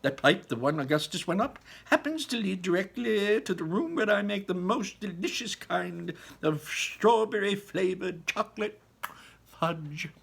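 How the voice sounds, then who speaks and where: A man's voice: high-pitched, lively intonation, a little slow, creaky, fairly bright tone, husky, pitched above the performer's own voice, exaggerated voice. A man voicing a breezy, eccentric chocolate factory owner from a storybook, exclaiming and reassuring. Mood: excited.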